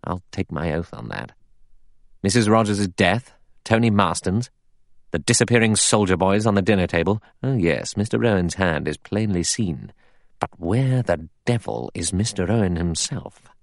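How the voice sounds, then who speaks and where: Man voicing a hard, low-voiced adventurer. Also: expressive, no echo, studio quality. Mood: grim, certain. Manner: slow, emphatic, dramatic. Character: hard, shrewd adventurer man, grim and wary.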